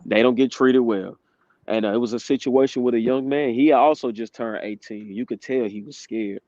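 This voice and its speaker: A man's voice: medium pitch, normal speed, darkish tone, dry recording. A man in his twenties giving candid, casual testimony as a guest, laughing between points. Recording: dry dull lo-fi sound.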